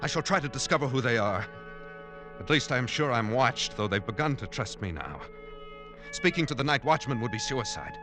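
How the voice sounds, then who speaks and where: A man's voice: mid-range voice, lightly acted. An actor in a vintage radio drama playing an earnest, troubled man confiding in his diary. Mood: anxious, confiding. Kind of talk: 1940s-50s radio drama acting with diary narration. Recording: old radio broadcast with music behind.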